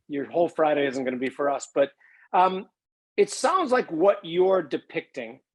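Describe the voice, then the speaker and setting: Male podcast co-host, higher voice, chatty, jokey, blunt questions. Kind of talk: casual interview chat.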